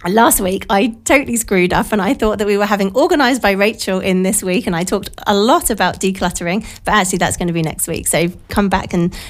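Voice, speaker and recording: Radio host: British woman in middle age, informal and chatty, self-deprecating, voice croaky and bunged up with a cold. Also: medium pitch, a little fast, hardly any pauses. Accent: British English. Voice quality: croaky, slightly nasal from a blocked nose. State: has a cold. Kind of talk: casual chatty radio monologue. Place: radio studio.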